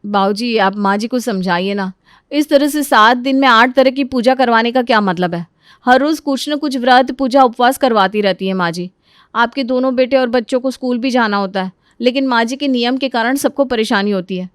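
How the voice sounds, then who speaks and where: Elder daughter-in-law woman performing, high pitch, respectful, exasperated, pleading complaints, moral story. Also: normal speed, smooth, no echo, studio quality, natural voice. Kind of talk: acted dialogue in a moral story.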